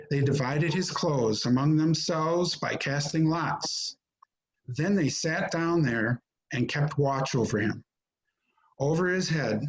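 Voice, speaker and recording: A man narrating a scripture reading in a measured, solemn manner. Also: mid-range voice, low-quality recording. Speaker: narrator in a church Passion reading.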